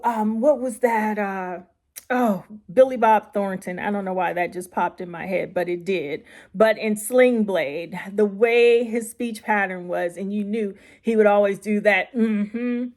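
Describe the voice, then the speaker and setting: A woman writer giving informal instructional advice, speaking in an emphatic, deliberate way with stressed repeats. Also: mid-range voice. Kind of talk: informal instructional talk to camera.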